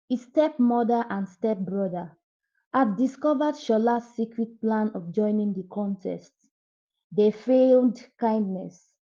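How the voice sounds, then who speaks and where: A woman's voice: fairly high pitch, dark tone, dull audio. A woman narrating a scripted folktale as a storyteller.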